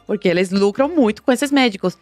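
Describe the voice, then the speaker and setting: A woman's voice: mid-range voice, animated, fast, a little mellow, no echo. A woman from Cuba speaking emphatically and giving firm opinions as a podcast guest. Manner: emphatic, outspoken. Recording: background music.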